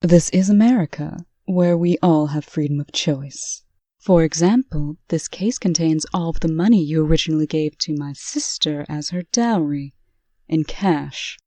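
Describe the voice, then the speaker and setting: Woman acting a brisk female authority figure, dark low voice, dry recording, commanding, comic dub drama. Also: no echo. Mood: stern, businesslike. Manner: crisp, authoritative, dryly scolding. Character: stern, brisk woman official laying down the law.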